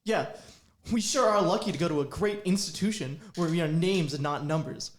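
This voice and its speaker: A woman's voice: fairly low pitch, fairly quick, lightly acted. A woman playing a quippy, indignant young student. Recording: echoey room, faint noise.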